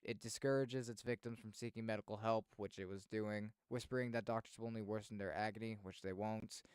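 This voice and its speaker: A man streaming casual reactions and commentary, expressive and thinking aloud as he goes. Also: on the low side, fairly quick, clean tone, no echo.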